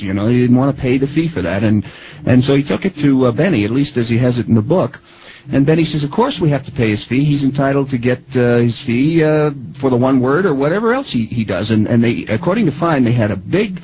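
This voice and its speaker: A man conducting a conversational interview, phrasing his questions and comments in a tentative, halting way. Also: medium pitch, darkish tone. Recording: faintly noisy archival interview tape.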